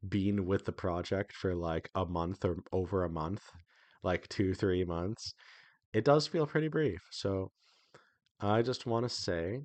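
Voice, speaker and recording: Low-voiced man giving a casual devlog. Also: dry recording. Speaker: indie visual novel developer and devlog host.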